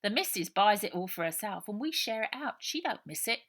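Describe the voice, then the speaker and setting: A woman's voice: mid-range voice, big pitch swings, fairly quick, a bit bright, pitched above the performer's own voice. A woman voicing a streetwise, defiant urchin girl with a colloquial, matter-of-fact manner in a storybook. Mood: cheeky, defiant.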